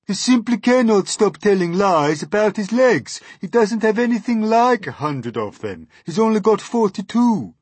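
A man's voice: sits high, big pitch swings, normal speed, hardly any pauses, darkish tone, breathy edge, no room sound, voice pushed up, heavily acted. A man voicing a prim, self-important male earthworm in a storybook, complaining with emphatic indignation. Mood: exasperated.

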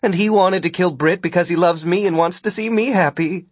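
A man's voice: high-pitched, normal speed, fairly bright tone, slightly rough, no room sound, voice pushed up. A man voicing a proud, unrepentant, defensive woman villain in an audiobook. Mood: indignant. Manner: defensive, haughty.

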